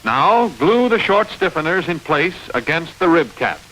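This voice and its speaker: A man reading scripted instructional narration for a training film, explaining step by step in a clear manner. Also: fairly high pitch, very animated, unhurried, sharp tone, rough recording. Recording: hissy old training film soundtrack.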